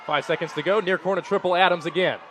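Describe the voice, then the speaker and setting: A man's voice: sits high, steady tone, fast, fairly bright tone, a faint crowd, a little hiss or hum. A young American man doing sports commentary as a broadcaster, with live arena sound behind him. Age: young adult. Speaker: sports broadcaster, play-by-play announcer and postgame radio host.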